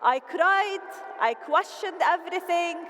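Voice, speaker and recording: Lebanese woman, high voice, stage speaker in a big echoing hall; animated, playful. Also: measured pace, slight background noise. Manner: animated and playful, rallying the crowd. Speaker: convention speaker. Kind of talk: motivational stage speech. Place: large convention hall. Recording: reverberant hall, faint ambience.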